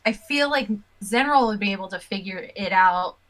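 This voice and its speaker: A woman with a bright, clear voice talks loosely about her tabletop character. Her talk is casual with mild swearing, at a middle pitch. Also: lively intonation, normal speed. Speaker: tabletop game player. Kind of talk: casual chat about a game session.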